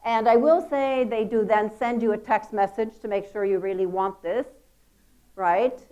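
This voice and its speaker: A woman with a loud voice giving a lively, chatty conference talk. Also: medium pitch, normal speed. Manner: lively, chatty, self-deprecating. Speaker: conference speaker. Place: conference hall.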